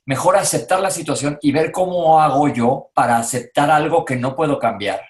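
A man's voice: a little high, normal speed. A man with a Mexican accent co-hosting a casual conversation, speaking in an informal, colloquial and relatable way. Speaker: co-host.

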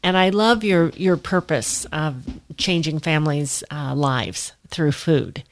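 Low-voiced woman podcast host. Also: slow. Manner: warm affirming, frequent mm-hmms. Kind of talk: interview podcast.

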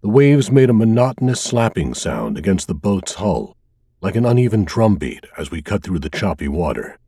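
A man reading a scripted first-person thriller as an audiobook narrator, with a measured, dramatic delivery. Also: lowish voice, sing-song, warm tone, breathy edge, dry recording, studio quality.

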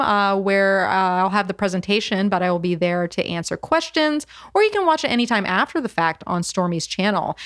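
A woman's voice: mid-range voice, no echo, clean studio sound. A woman from California hosting a podcast interview, upbeat and chatty with some fillers. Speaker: podcast host.

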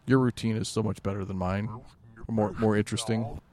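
A man's voice: fairly low pitch, fairly even intonation, fairly quick, a little mellow. A man chatting casually as a podcast co-host, understated and laid-back with dry humor. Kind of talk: casual chat.

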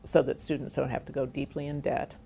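A woman educator speaking earnestly and in a measured way in an interview about higher education. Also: flat delivery, vocal fry, mellow, a little hiss or hum. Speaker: educator guest. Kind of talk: conversational interview.

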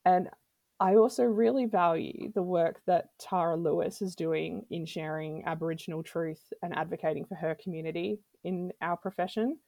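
Mellow low woman guest, earnest, dry close sound. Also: steady tone, normal speed, vocal fry, no echo. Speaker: podcast guest, speech pathologist. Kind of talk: interview answers.

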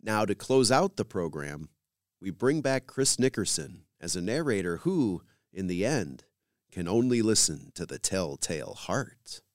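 A man's voice: low voice, big pitch swings, a little slow, dry recording, clean studio sound. A man hosting a radio program, reading scripted introductions and credits as a presenter.

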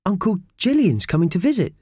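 A man voicing a small, eager, excitable creature in a children's storybook, talking with urgency. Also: high-pitched, brisk, slightly rough, no echo, studio quality, voice pushed up, heavily acted. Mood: matter-of-fact.

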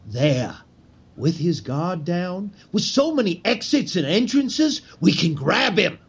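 An actor playing a zealous conspirator leader in a historical drama, speaking in grand, declamatory rhetoric. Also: male voice, high voice, very animated, slow pace, breathy edge, heavily acted. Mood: insistent.

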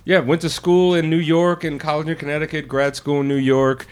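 A man's voice: on the high side, little pitch movement, brisk, smooth, faint music behind. Articulate actor guest in his thirties. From New Orleans. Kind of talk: casual chat.

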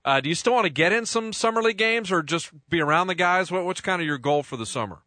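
A man's voice: sits high, expressive, quick pace, sharp tone, no echo. A man hosting a sports radio interview in a studio, chatty and joking with lots of fillers.

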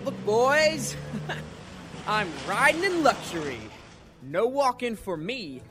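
Man acting a high-pitched, brash young American soldier. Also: very animated, slow, noisy background, heavily acted. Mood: gleeful. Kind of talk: radio drama acting.

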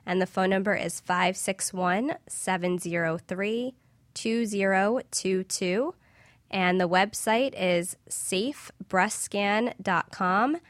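A woman's voice: mid-range voice, slow pace, no echo. A woman guest expert answering interview questions on a radio show in an explanatory, hedging way.

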